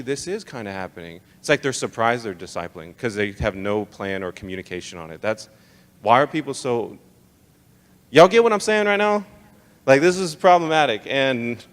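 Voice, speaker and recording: A young American man giving an informal, emphatic live talk to church leaders, conversational and slangy, often pressing rhetorical questions. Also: mid-range voice. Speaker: speaker leading a church leadership training session. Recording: live talk in a reverberant hall.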